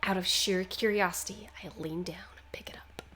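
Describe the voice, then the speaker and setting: A woman's voice: on the low side, fairly even intonation, moderate pace, a bit bright, a little hiss or hum. A woman co-hosting a game stream, mixing casual, sweary banter with reading game text aloud. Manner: irreverent, sweary.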